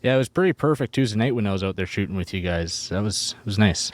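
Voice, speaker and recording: Low-voiced podcast host man. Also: animated, fast. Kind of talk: casual interview.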